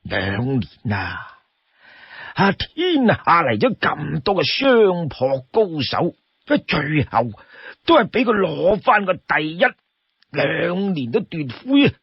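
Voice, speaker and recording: A man voicing a talkative old townsman in Cantonese, sighing and exclaiming in awe with drawn-out emphasis. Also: a little high, very animated, gravelly, slightly rough, no room sound, dull audio, full character voice. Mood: awed, excited. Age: older. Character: talkative old male townsman, awed and gloomy storyteller. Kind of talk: dramatized Cantonese storytelling.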